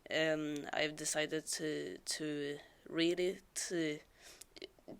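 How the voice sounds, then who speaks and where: A woman with a sore throat talks slowly and hesitantly, stumbling over her words and filling pauses. Her voice is low and gravelly with a lot of fry. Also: fairly bright tone. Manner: hesitant, many fillers and restarts. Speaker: storyteller. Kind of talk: casual chat before a story reading.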